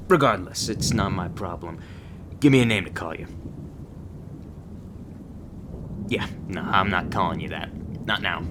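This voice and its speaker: A man playing a jaded veteran monster-hunting agent in an ASMR roleplay, curt, deadpan and contemptuous. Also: low-pitched, background noise, pitched below the performer's own voice, lightly acted. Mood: cold, dismissive. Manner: deadpan, curt, sarcastic, insulting.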